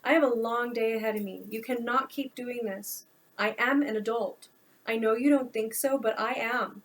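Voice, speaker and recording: A woman's voice: fairly high pitch, unhurried. A woman voicing a blunt, exasperated young man who answers his mother, in a storybook reading.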